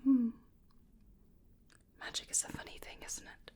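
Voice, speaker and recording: A woman playing a hesitant, curious creature researcher in a soft-spoken, whispered ASMR exam roleplay, musing gently and apologetically. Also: unhurried, natural voice.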